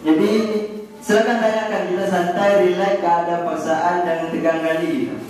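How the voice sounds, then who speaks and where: A young man from Aceh with an Acehnese Indonesian accent, leading a calligraphy workshop in a relaxed, chatty way and putting questions to the students. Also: sits high, moderate pace, a little hiss or hum. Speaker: calligraphy trainer. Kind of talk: casual workshop talk to students. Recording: echoey hall, voice from far off, students around.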